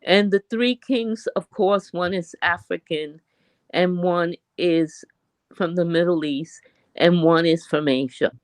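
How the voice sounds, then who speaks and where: A woman from Puerto Rico, on a video call, gently recounting a childhood holiday custom. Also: on the low side, takes it slowly, no echo. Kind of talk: casual storytelling on a video call.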